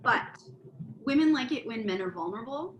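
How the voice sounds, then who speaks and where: A woman's voice: on the high side, expressive, brisk, a bit bright, dull audio, slight background noise. A middle-aged woman co-hosting a relationship talk show, earnest and explaining her point at length with examples. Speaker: podcast co-host. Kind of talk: casual chat. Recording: echoey room, faint ambience.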